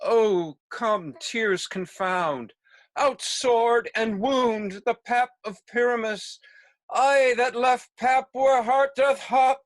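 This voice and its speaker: Amateur player as melodramatic doomed lover in a comic stage play; slow, declamatory, overwrought, close dry sound. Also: medium pitch, no echo, close to an everyday voice. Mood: grieving. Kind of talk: hammy amateur stage acting. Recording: home video call recording.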